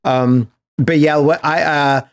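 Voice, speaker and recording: A man's voice: on the high side, fairly even intonation, slow. A man chatting casually as a podcast host, enthusiastic and rambling in a slangy way. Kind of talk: casual podcast chat about movies.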